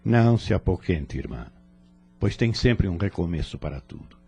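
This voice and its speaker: A man performs a serene Brazilian spirit mentor in a religious audio drama. The voice is deep and husky, with a very animated melody. He exhorts kindly in formal, measured, fatherly phrases. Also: heavily acted. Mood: consoling, solemn.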